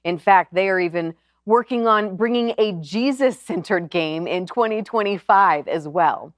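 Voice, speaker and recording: A woman's voice: medium pitch, a little slow, dry recording, studio quality. A woman hosting a TV show, presenting and interviewing in a conversational broadcast style. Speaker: TV show host.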